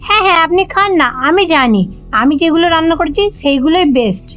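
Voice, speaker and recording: A woman's voice: very high voice, lively intonation, fast talker, a bit bright. A woman voicing a boastful, jealous young daughter-in-law in a comedy cartoon, with a Bengali accent and a huffy manner. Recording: dull, lo-fi audio with music behind.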